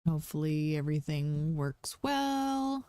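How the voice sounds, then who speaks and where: Female game streamer, croaky, casual and rambling, dry close sound. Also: sits low, very animated, a little slow, mellow, dry recording. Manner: thinking aloud, many ums, chatty asides to herself. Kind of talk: casual gameplay commentary.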